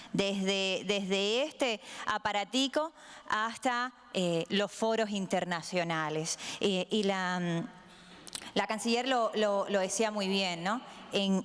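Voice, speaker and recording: A woman from Cuba speaking as a panel guest, measured and with frequent hesitations. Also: medium pitch, smooth. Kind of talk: panel discussion talk. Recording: hall with long reverb.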